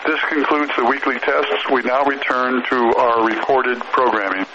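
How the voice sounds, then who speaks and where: A man reads an official weather radio script at a middle pitch. The broadcast is dull and lo-fi, with plainly audible static around his voice. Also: steady tone, normal speed. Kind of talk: scripted public announcement.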